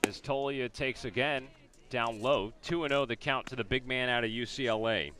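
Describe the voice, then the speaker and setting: A man with an American accent calling a baseball game as a radio play-by-play announcer, describing each pitch and the setting. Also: normal speed, sharp tone. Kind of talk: live sports commentary, descriptive radio play-by-play.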